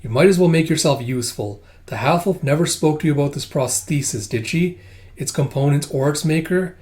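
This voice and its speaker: A man's voice: a little high, a little fast, darkish tone, close to an everyday voice. A man voicing an irritable, haughty cat scientist in a story, dryly muttering and dismissive. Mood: curt.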